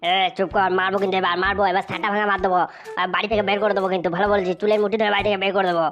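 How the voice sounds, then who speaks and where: A woman doing a hot-headed, fast-ranting cartoon husband. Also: mid-range voice, little pitch movement, faint music behind, lightly acted. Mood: furious, threatening. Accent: West Bengal. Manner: ranting, threatening, repeats himself. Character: hot-tempered, bullying, foul-mouthed village husband. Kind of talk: amateur cartoon comedy squabbling.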